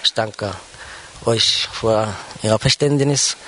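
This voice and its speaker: A man whose first language is Arabic, speaking to his church congregation in a halting personal testimony, with many pauses and fillers. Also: on the low side, fairly even intonation, bright, forceful tone, slight background noise. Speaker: church member addressing the congregation. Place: church service.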